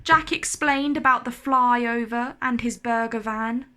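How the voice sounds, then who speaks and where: Slow, bright woman storyteller. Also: a little high, steady tone. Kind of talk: expressive children's storybook read-aloud.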